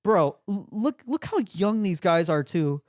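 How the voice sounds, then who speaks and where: A man's voice: high voice, lively intonation, a little slow, no room sound. A man co-hosting a podcast in casual, joking, slangy chat, often saying dude and bro. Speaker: podcast co-host.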